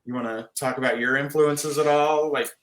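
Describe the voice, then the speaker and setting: A man with a husky voice, a band frontman talking casually in an interview, rambling with many restarts. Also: medium pitch, fairly even intonation, moderate pace, a bit bright, reverberant.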